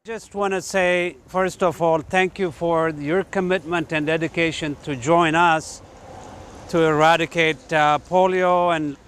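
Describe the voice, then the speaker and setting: Brief: older man from Ethiopia, slightly high voice, easygoing talk with pauses. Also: a little hiss or hum. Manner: relaxed, hesitant with fillers. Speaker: Rotary volunteer. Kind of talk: casual speech to a group.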